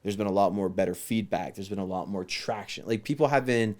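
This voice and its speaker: A man co-hosting a podcast, expressive and quick to exclaim in casual banter. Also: on the low side. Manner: expressive, laughs and exclaims a lot. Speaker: podcast co-host and musician.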